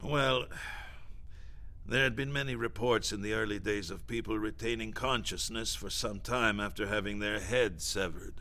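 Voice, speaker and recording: An actor playing an evasive, genteel deputy minister in a satirical audio drama, wordy, formal and hesitant, with a drily macabre, blandly menacing air. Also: male voice, fairly low pitch, moderate pace, bright, forceful tone, slight background noise. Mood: macabre relish.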